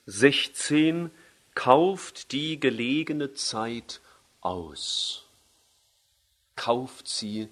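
A man preaching a sermon in German. He leans hard on key phrases and slows down when he quotes scripture. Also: mid-range voice. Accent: German, with a native dialect.

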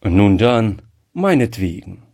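A man's voice: lowish voice, big pitch swings, takes it slowly, darkish tone, full character voice. A man voicing a suspicious old colonel who speaks doubtfully and gives in grudgingly. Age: older.

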